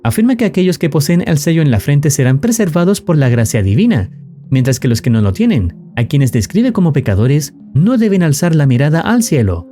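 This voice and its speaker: A man narrates a scripted story in formal, measured phrasing. His voice sits a little high and has a very mellow, relaxed tone, with animated intonation that moves through each sentence. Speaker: narrator of horror video recaps. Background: music.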